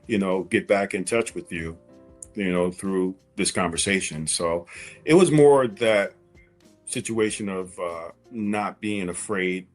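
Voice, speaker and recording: A man's voice: low-pitched, a little slow, faint music behind. A man in his forties speaking as an interview guest, candidly telling a personal story in a conversational way and repeating phrases for emphasis.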